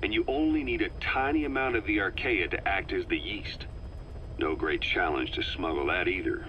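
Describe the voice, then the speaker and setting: Gruff, shrewd soldier for a game, played by a man: deep, dry, sardonic, on dull hissy cassette. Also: normal speed, natural voice. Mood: wry, suspicious. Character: gruff, shrewd, sardonic male military veteran. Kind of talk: dramatic video game dialogue. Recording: muffled cassette tape with hiss.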